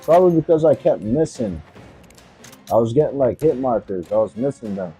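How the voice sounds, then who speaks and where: A man's voice: medium pitch, expressive, normal speed, dark tone, faint music behind. A man giving casual, slangy, profane commentary as he streams a video game, with game sound behind him. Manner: slangy, profane, says "bro" often.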